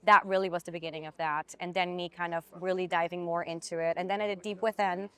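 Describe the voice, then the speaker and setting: A young woman from Switzerland with a Swiss accent, an actress telling her story in a casual interview, chatty and animated. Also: on the low side, fast talker, clean tone. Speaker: actress, podcast guest.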